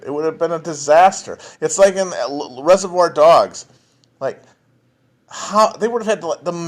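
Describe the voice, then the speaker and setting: Brief: man, podcast host type, loose profane solo stream talk, restarts often, trails off, mid-pitched voice. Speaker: podcast host answering chat. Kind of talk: casual livestream chat. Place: home, alone at a phone or computer.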